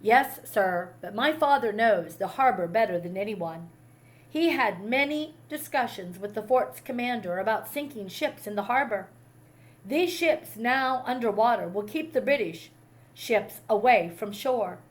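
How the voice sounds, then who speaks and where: A woman's voice: fairly high pitch, animated, measured pace. A woman voicing an eager, proud young boy from a storybook, respectful and keen.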